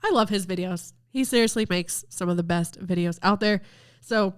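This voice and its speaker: Woman, podcast host, casual talk, stuffed up from a cold, smooth clear voice, mid pitch. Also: normal speed. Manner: chatty, upbeat, lively recommending tone. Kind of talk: casual video review talk.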